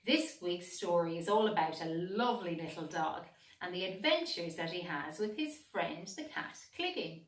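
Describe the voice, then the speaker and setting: An Irish woman reading a children's storybook aloud in a deliberate, expressive storytelling manner. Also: on the low side. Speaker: storybook reader, teacher. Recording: echoey room, distant microphone.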